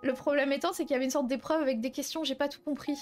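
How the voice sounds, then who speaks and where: A woman's voice: high voice, steady tone, rapid-fire, darkish tone, soft background music, lightly acted. A young woman playing an anxious young woman confiding her love troubles in casual, hesitant improvised roleplay dialogue. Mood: worried, confiding.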